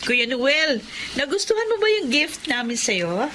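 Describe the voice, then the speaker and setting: A woman's voice: sits high, big pitch swings, unhurried, music in the background. A woman playing a playful, teasing younger sister in a Filipino radio drama, with a bubbly, coaxing manner. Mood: cheerful teasing.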